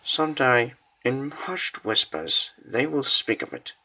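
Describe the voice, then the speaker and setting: A man narrating a comic dub as a scripted dramatic story, grave and deliberate with theatrical pauses. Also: mid-range voice, big pitch swings, sharp tone.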